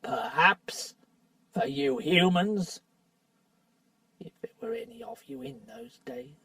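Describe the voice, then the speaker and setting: A storybook reader voicing a gruff, stubborn male dwarf who speaks slowly and firmly. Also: medium pitch, expressive, fairly bright tone, dry recording, distant mic.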